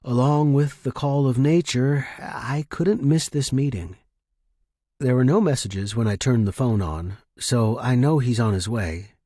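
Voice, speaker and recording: A man reading first-person fiction as a narrator, in a grave, measured, dramatic manner. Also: mid-range voice, dark tone, no room sound, clean studio sound. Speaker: story narrator.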